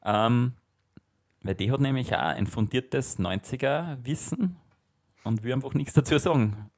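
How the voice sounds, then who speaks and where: A man's voice: medium pitch, measured pace, a little mellow. A man in his thirties with an Austrian accent, co-hosting a podcast in casual, jokey chat full of self-mockery. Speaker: podcast co-host.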